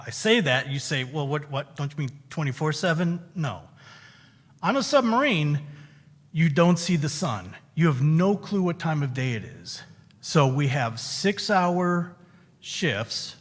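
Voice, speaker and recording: A middle-aged man, a veteran guest speaker, giving a measured, conversational reflection at a synagogue service. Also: fairly high pitch. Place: synagogue sanctuary. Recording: reverberant hall.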